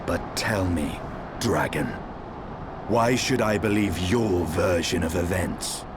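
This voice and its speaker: A low-voiced, bitter, stern knight. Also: male voice, background noise. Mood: sardonic, distrustful. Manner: cold, measured, sardonic. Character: stern, bitter, sceptical male knight, dragon-hating warrior. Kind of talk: dramatic fantasy game acting, archaic speech.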